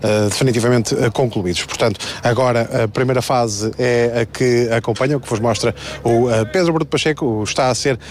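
A male reporter with a European Portuguese accent talks quickly, filling gaps with hesitant "eh" sounds. He reports live from the road. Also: mid-range voice, a little mellow, slight background noise. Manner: fast, with frequent filler hesitations. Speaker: field reporter. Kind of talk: live on-scene news report. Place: outdoors at a roadside accident scene.

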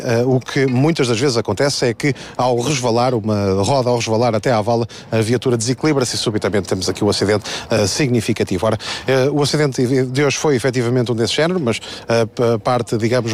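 A man with a European Portuguese accent reporting live from a roadside accident scene outdoors, often pausing with filler sounds. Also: medium pitch, a little mellow. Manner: fast, with frequent filler hesitations. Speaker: field reporter.

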